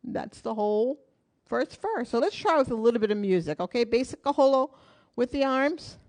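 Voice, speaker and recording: A woman's voice: fairly high pitch, lively intonation, moderate pace, darkish tone. A woman teaching a live online hula class from her home in Hawaii, counting beats and talking through each move in a friendly, encouraging way.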